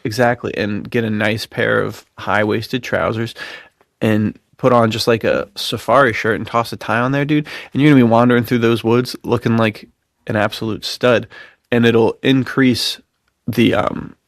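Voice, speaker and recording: A man co-hosting a podcast in casual, enthusiastic chat, talking in slangy, excitable bro talk. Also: mid-range voice, normal speed, gravelly, no echo, studio quality. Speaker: podcast co-host.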